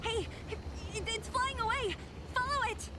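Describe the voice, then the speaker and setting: A woman's voice: extremely high pitch, a little slow, background noise. An actress playing a sardonic young woman companion in a video game, with a mocking, teasing delivery. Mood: urgent.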